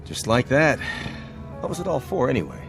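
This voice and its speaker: An actor playing an earnest, uneasy American police officer, speaking gravely and with measure in a radio drama. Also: male voice, mid-range voice, sing-song, a little fast, music in the background.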